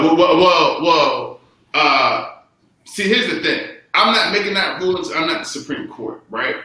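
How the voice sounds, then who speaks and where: A man's voice: medium pitch, pushed and bright. A man, a lawyer, explaining a legal point on a panel, emphatic and repeating his key phrases. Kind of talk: casual panel discussion. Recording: very echoey room.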